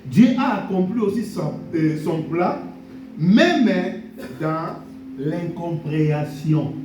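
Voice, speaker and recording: A man's voice: on the high side, big pitch swings, slow pace, mellow, a little hiss or hum. A man preaching a sermon, repeating blessings and drawing amens from the congregation. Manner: preaching with call-and-response amens; repeats key phrases and blessings. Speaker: pastor. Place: church hall. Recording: echoey hall from far off.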